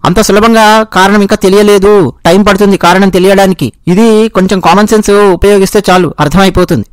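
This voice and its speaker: A man's voice: sits high, steady tone, fairly quick, dry recording. A man with a Telugu accent giving explanatory news commentary, restating and rephrasing his points as he goes.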